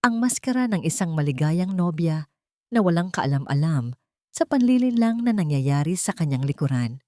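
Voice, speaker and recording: A woman's voice: deep voice, very animated, normal speed, warm tone, no echo. A woman reading a scripted dramatic story as its narrator. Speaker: narrator of romantic drama stories.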